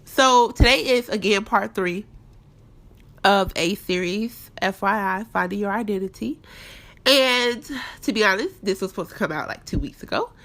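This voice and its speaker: A young woman with a stuffed-up nose, chatting candidly to her listeners as a podcast host. She talks at a middle pitch, casual and personal, recorded on a home mic in her apartment. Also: animated, normal speed, a bit bright. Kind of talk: casual faith-based podcast talk.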